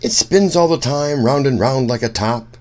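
A man reading a rhyming children's picture book aloud in an expressive, sing-song storyteller's way. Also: medium pitch, normal speed. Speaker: children's story reader, host.

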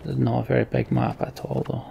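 A man's voice: medium pitch, flat delivery, fast talker, creaky, highs cut off, slight background noise. A man hosting a let's play, casually muttering his thoughts in short fragments and reading story text aloud.

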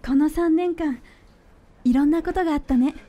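An actress as a high-voiced caring young girlfriend. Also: normal speed, mellow, lightly acted. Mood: fond. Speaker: voice actor. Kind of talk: Japanese radio drama acting.

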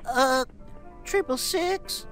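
A man's voice: very high voice, drawn-out words, a bit bright, soft background music, full character voice. An actor playing a twitchy, stammering biker gang underling full of excuses, in a video game. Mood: nervous pleading. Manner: agitated, stammering, pleading. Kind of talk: video game cutscene acting.

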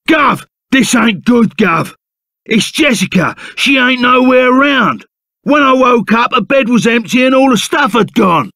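A man's voice: sits high, creaky, fairly bright tone, dry recording, clean studio sound, exaggerated voice. A man voicing a burly, blustering but loyal bandit sidekick in a video game, with a Cockney accent and blunt, slangy manner. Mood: alarmed, excited. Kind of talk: animated video game character acting.

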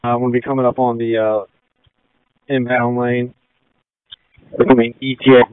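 Clear-voiced male responder on dull radio. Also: mid-range voice, level tone, no room sound. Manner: halting, businesslike. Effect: radio transmission. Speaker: emergency responder at scene. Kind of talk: operational radio traffic. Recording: dry, dull two-way radio audio.

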